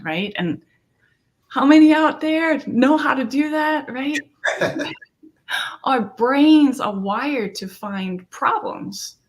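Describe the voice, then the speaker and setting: A middle-aged woman from Denmark, a therapist and coach speaking earnestly in an interview and often asking "right?". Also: on the high side. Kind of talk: conversational interview.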